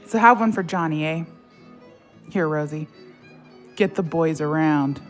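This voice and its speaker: A brash, needling woman, with a lowish voice. She is the blunt best friend, wry and teasing in how she talks. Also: measured pace, faint music behind. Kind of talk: audio drama acting.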